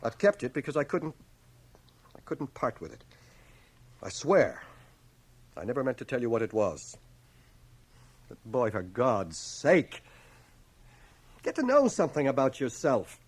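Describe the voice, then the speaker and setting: An actor playing an aging, guilt-ridden bachelor schoolmaster in a radio drama, deliberate, literate and earnestly moralizing. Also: male voice, medium pitch, very animated, slightly rough. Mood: earnest, confronting. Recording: cassette transfer of 1980 radio drama.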